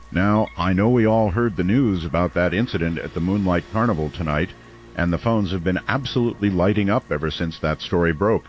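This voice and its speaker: Male actor as intrigued late-night radio host, low bass register, folksy and confiding, dull broadcast sound. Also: close to an everyday voice. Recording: dull radio sound, faint rain noise.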